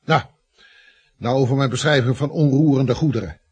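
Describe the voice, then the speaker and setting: A man's voice: mid-range voice, normal speed, slightly rough, lightly acted. A man acting in a Dutch radio play as a domineering older estate agency boss, curt, commanding and self-assured. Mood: imperious, dry.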